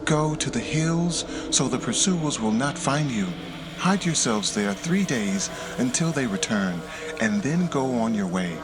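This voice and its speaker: A man's voice: medium pitch, expressive, unhurried, background noise, lightly acted. Man voicing Rahab, an earnest pleading woman. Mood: urgent. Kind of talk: dramatized scripture reading.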